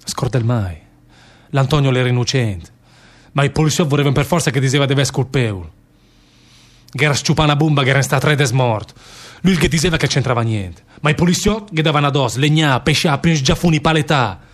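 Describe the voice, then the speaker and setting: A man's voice: mid-range voice, fast talker, close to an everyday voice. Radio drama actor as simple, confessional Lombard workman: mid-range male voice, stubborn, plaintive, repetitive dialect delivery. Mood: indignant. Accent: northern Italian, Lombard. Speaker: stage actor in a radio theatre play. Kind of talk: dialect theatre acting.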